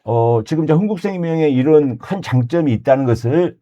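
A man in his sixties explains insurance coverage in a mellow, darker voice. His pitch rises and falls in a lively way. He drives each point home emphatically and often stops mid-word to correct himself. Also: brisk, lo-fi sound. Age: 60s. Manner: emphatic, repeats key points, self-correcting. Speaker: insurance advisor. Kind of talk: explanatory sales talk.

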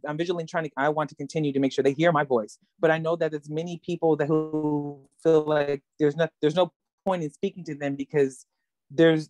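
A woman's voice: sits low, dry recording. A woman taking part in an online workshop, talking earnestly with many restarts over a video call. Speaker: workshop participant. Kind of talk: casual discussion in a video call.

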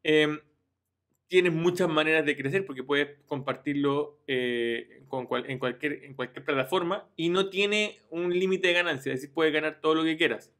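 Man, 30s, slightly high voice, casual tutorial talk, webcam at home. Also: normal speed, a bit bright. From Chile. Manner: informal, addresses viewers directly, frequent "ya" and restarts. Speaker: online business youtuber. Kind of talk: screen-share tutorial.

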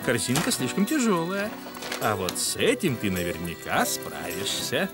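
A man voicing a kind, gentle donkey father in a preschool cartoon. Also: mid-range voice, huge pitch swings, moderate pace, loud music behind the voice. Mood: kindly.

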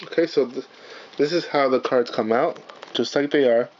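Man host, hoarse and raspy, chatty with fillers, mid-pitched, dull lo-fi camera sound with faint hiss. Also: normal speed. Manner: chatty, apologetic, lots of fillers. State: hoarse, partly lost voice. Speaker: channel host, card unboxer. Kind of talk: casual unboxing vlog. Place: indoors at a table, camera on the cards.

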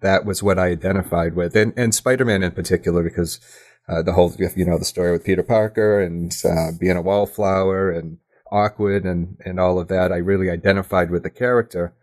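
Man, low-pitched voice, interview guest, reflective, casual, modest, candid, slightly halting delivery. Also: fairly even intonation, a little mellow. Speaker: podcast guest, audio drama writer.

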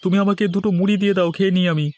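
A man voicing a grumbling thrifty farmer, fast and high. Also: fairly even intonation, a little mellow, natural voice.

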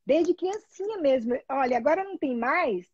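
A middle-aged Brazilian woman telling personal stories casually, in an animated, emphatic, chatty way over a video call. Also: high voice, moderate pace, no room sound. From Salvador, Brazil. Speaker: guest, therapy trainee telling her experience. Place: small room at home, indoors. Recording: dull, lo-fi video call audio.

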